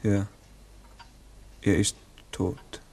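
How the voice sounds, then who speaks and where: An actor playing a sober, matter-of-fact witness reporting in a 1960s radio play. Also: male voice, fairly low pitch, extremely slow, vocal fry, husky, heavily acted. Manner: flat, factual reporting. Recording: 1960s mono radio recording.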